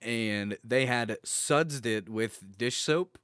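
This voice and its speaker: A man's voice: medium pitch, slow pace, fairly bright tone, clear, resonant tone, dry recording, clean studio sound. A man co-hosting a podcast, telling anecdotes in a wry, informal, joking manner. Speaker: podcast co-host. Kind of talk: casual chat, telling anecdotes.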